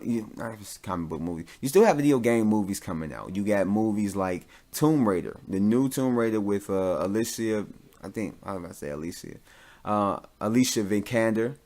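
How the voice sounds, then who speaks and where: A man with a fairly low voice talks as a podcast host. He rambles through his opinions casually, thinking aloud in loose, informal language with the odd bit of swearing. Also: a little mellow.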